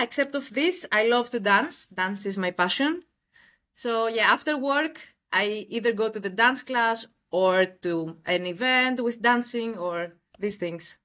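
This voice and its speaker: High-voiced young Greek woman. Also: expressive, unhurried, a bit bright. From Greece. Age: late 20s. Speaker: interview guest, shipbroker. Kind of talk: conversational interview answers.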